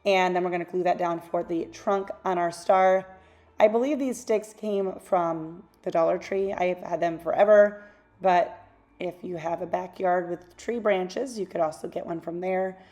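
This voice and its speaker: A woman hosting a craft channel, talking through a tutorial in a casual, rambling voice-over; her voice is a little raspy from losing it. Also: on the low side, steady tone, normal speed, a little mellow. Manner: chatty, rambling, self-correcting asides.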